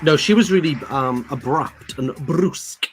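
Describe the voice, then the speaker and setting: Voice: medium pitch, unhurried, music in the background, crowd noise, low-quality recording. A co-host talks casually and jokes while reacting live to a prize announcement. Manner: joking, chatty. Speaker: livestream co-host.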